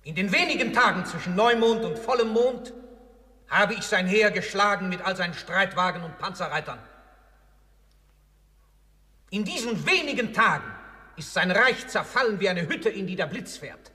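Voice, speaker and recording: An actor playing a proud dead Roman general, boasting in pompous, declamatory radio play style. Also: male voice, high-pitched, lively intonation, normal speed, pushed and bright. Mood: boastful. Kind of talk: theatrical radio play acting. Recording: long hall reverb.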